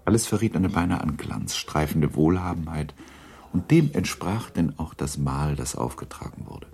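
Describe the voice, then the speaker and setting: Narrator for literary radio drama: male, very low, gravelly, measured, with dry irony. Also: lively intonation, dark tone.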